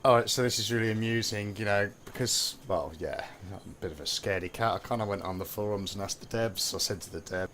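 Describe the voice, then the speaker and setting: A man with a hoarse voice from a heavy cold, chatting casually and self-mockingly as he walks through a survival game. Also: fairly low pitch, quick pace, hardly any pauses, a little hiss or hum. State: hoarse from a cold, losing his voice. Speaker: gameplay guide presenter. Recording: loud game ambience behind. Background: game sounds, animal noises, rural outdoor ambience.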